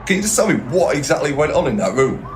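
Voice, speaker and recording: A man's voice: a little high, sing-song, fast, a little hiss or hum. An actor playing a weary, exasperated policeman in a comic audio play. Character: weary, exasperated British policeman questioning a witness. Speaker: actor in an audio comedy drama.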